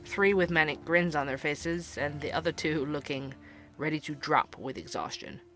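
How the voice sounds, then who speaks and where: An actor playing a young barista who hosts a podcast, narrating in an earnest, wry way. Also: male voice, a little high, normal speed, bright, forceful tone, faint music behind.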